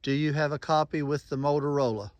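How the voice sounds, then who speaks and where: Male reviewer, higher-pitched, folksy and plain-spoken, doing casual field-test commentary and radio calls, dry sound. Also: quick pace, no echo. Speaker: vlogger testing walkie-talkies.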